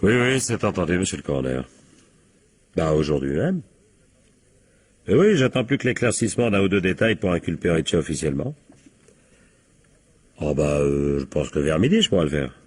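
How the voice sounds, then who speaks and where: Deep-voiced, probing police captain. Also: male voice, big pitch swings, normal speed, long pauses, husky, exaggerated voice. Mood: dutiful, wry. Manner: probing, authoritative, sardonic. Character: dogged male American police captain, brisk and wry. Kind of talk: French radio crime drama acting.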